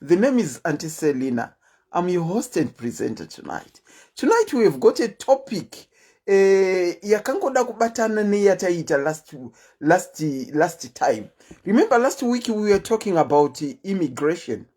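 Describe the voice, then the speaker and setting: A woman with a Zimbabwean accent and a deep voice, hosting a casual livestream chat and telling stories in a chatty, animated way. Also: moderate pace, slightly rough. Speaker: host.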